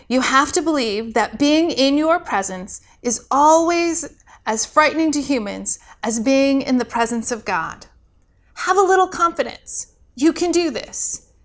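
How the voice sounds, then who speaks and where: Woman voicing high, emphatic mentor angel Gabriel. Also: animated, unhurried. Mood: firm, encouraging. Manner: emphatic, coaching. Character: senior angel Gabriel, firm encouraging mentor.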